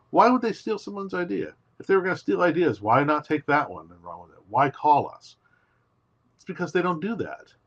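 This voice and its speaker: Guy telling stories on a podcast, emphatic and animated, kinda dull lo-fi sound. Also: mid-range voice. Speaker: guest writer. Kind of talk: casual interview.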